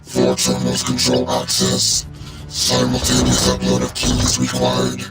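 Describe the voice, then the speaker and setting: An actor playing an emotionless, obedient robot spy who talks in terse, mechanical, telegraphic phrases in a video game. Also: male voice, medium pitch, sing-song, unhurried, creaky, heavily acted. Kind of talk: dramatic video game cutscene acting. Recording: loud music over the dialogue.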